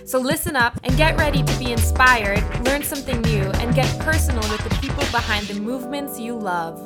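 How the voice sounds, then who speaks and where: A young woman speaks as a podcast host with a fairly high voice. Her talk is casual, slangy and effusive, like a chat between peers. The room adds a noticeable echo around her words. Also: brisk, over loud music. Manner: effusive, informal slangy speech. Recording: roomy, echoey sound.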